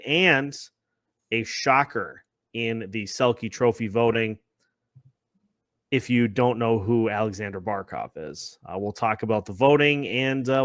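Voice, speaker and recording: A man's voice: mid-range voice, no room sound, clean studio sound. A man hosting a sports podcast in a conversational way, repeating phrases as he builds his points. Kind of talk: conversational sports discussion with scripted-sounding intro.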